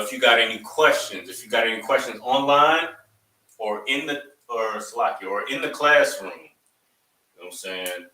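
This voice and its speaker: A man's voice: medium pitch, sharp tone. A man opening a class, loose and rambling, leaning on "you know what I'm saying", and rising to emphatic, preachy lines. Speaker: class instructor. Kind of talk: opening remarks to a live class. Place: classroom, also streamed online. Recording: echoey room, mic at a distance.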